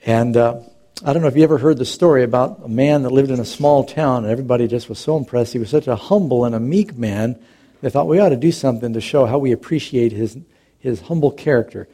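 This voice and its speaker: A man's voice: medium pitch, quick pace, warm tone. A middle-aged man, a pastor, preaching a sermon in a conversational, storytelling way with touches of humor.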